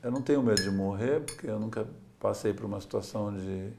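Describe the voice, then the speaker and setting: Gravelly low-voiced man from Santos. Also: quick pace, warm tone, slight background noise. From Santos, Brazil. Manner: reflective, tells stories with small laughs and "né?". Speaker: sailor and adventurer. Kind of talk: relaxed interview talk.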